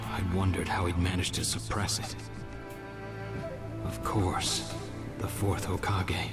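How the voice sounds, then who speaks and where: Game role: level-headed adult ninja mentor, deep register, relaxed measured delivery, music bed. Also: male voice. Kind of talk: English video game dub acting. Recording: music under the dialogue.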